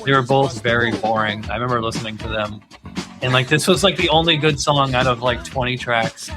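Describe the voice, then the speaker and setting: A man hosting a podcast in casual music chat, with a halting, conversational way of talking peppered with "like". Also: mid-range voice, steady tone. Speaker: podcast host.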